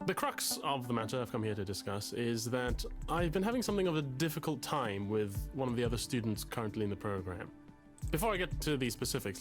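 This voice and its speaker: A man's voice: medium pitch, close to an everyday voice. An actor playing a pompous, anxious, lovesick male literature student in a video game, speaking in a verbose, formal and hesitant way. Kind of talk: visual novel game voice acting.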